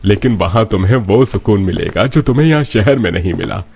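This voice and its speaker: A man's voice: fairly low pitch, expressive, fairly quick, vocal fry, a little mellow, breathy edge, full character voice. A man playing an elderly, plain-spoken and opinionated father-in-law in a cartoon village story. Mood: persuading. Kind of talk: cartoon moral story dialogue.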